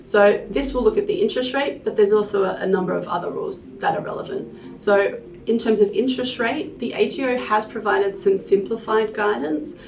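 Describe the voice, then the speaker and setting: A woman's voice: mid-range voice, a little fast, gravelly, a little mellow. A woman hosting a professional explainer, talking in a structured, informal way. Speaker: host of a tax explainer series. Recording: roomy, distant mic, music bed.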